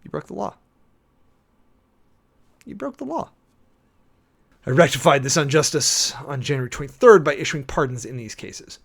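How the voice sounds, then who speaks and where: A man's voice: on the high side, sing-song, moderate pace, vocal fry, pauses often, breathy edge. An American man giving a dramatic reading with off-the-cuff commentary, in a sardonic, emphatic way with mock-exasperated asides, like a preacher-commentator.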